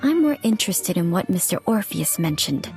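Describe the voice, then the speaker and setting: A woman's voice: on the low side, sing-song, moderate pace, darkish tone. A woman playing a polite, observant female reporter in video game cutscene dialogue. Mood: observant, musing. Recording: music behind the voice.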